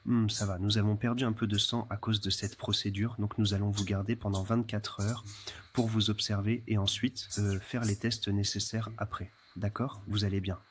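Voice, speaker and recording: A man as a composed doctor at a patient's bedside in film drama, his low voice flat and even. He explains things steadily, with a faint hiss behind him. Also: natural voice. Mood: calm. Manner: measured, steady, with hesitant fillers. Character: calm, reassuring male hospital doctor.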